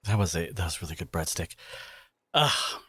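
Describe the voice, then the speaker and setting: A man playing a devoted, protective boyfriend in a dramatic roleplay audio, speaking emphatically with sarcastic edges and dramatic pauses. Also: low-pitched, expressive, sharp tone, husky, dry recording, full character voice. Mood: indignant, resolute.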